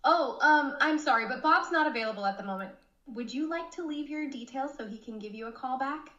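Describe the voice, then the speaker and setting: Bright synthetic female receptionist, echoey and dull. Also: sits high, a little fast. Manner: polite, helpful, scripted. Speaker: AI phone receptionist. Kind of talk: customer service phone call. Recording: dull, echoey playback of AI call.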